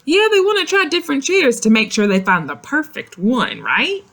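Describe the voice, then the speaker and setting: A woman reading a picture book aloud to young children, expressive and explanatory, speaking to them directly. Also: high voice, moderate pace, gravelly. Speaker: children's story reader. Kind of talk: animated read-aloud of a picture book with asides to young listeners.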